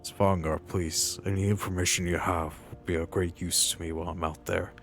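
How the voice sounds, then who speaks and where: A man voicing an earnest, reassuring ex-mercenary adventurer in a video game roleplay, speaking in a halting, deliberate way over music. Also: sits low, flat delivery, gravelly, a bit bright. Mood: earnest, grave.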